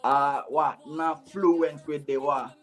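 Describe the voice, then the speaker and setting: A man's voice: fairly high pitch, steady tone, slow pace, a bit bright. A young man from Ghana with a Ghanaian accent mixed with Jamaican patois. He talks in a casual interview style, keeps asking himself "what?" and answering it, and says "you know" all through. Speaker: dancehall artist, guest. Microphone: remote video call.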